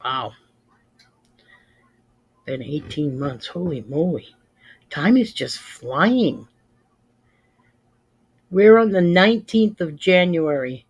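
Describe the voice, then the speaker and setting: A woman's voice: low voice, lively intonation, takes it slowly, long pauses, husky. Plain-spoken woman in her fifties. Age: 50s. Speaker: livestream host. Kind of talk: casual chat with live viewers.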